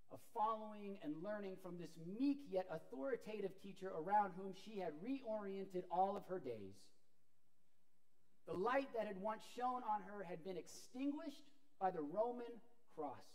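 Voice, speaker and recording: A middle-aged man preaching a sermon as a pastor, telling an animated story while moving about a church sanctuary. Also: sits high, low-quality recording. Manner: animated storytelling with wide gestures, walking about.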